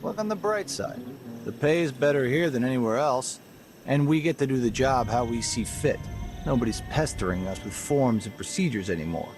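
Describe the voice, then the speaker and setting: A man's voice: mid-range voice, expressive, moderate pace, music in the background, natural voice. A man playing a breezily callous, corrupt city guard in a video game, matter-of-fact and cynical. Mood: cynical, breezy.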